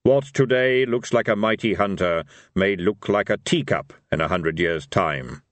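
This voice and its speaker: Audiobook role: male reader as Death, a cryptic otherworldly reaper, low, slow, solemn and dry-recorded. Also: no echo, natural voice. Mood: solemn, detached. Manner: slow, grave, formal. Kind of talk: audiobook character voice.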